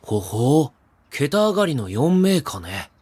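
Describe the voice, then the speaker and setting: A man's voice: fairly high pitch, slow pace, breathy edge, dry recording, full character voice. A man playing an unimpressed, exasperated teenage boy making dry retorts in a comedic anime audio drama. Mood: dry puzzlement. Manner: deadpan, exasperated. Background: music.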